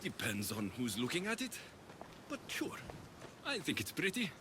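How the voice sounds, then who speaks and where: An actor playing a bold, wry mercenary warrior hero in a video game. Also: male voice, a little high, huge pitch swings, normal speed, background noise. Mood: wry, boastful. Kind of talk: video game character acting.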